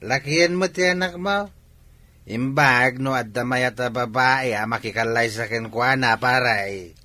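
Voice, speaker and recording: A man playing a boisterous, teasing village drinking buddy with an Ilocano accent in a radio drama, jocular and forceful. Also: medium pitch, unhurried, no room sound.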